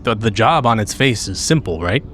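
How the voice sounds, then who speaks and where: A man's voice: on the low side, expressive, moderate pace, fairly bright tone, a little hiss or hum. A man playing a blunt, practical manhunter agent in a tabletop roleplay, plain-spoken and dry. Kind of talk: improvised tabletop roleplay dialogue.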